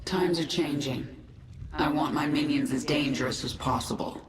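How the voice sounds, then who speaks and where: A woman's voice: deep voice, moderate pace, noisy background, natural voice. An actress playing a ruthless, commanding alien queen in a sci-fi game, with an imperious, menacing manner. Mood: cold, determined. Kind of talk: scripted sci-fi game voice acting. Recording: echoey, distant, music underneath.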